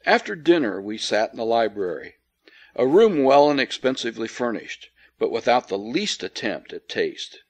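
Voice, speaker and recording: A man reads a classic ghost story as an audiobook narrator. His delivery is measured and formal, with a dryly cynical edge. The voice sits at a middling pitch. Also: sing-song. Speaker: audiobook reader. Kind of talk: dramatic scripted narration of an old ghost story.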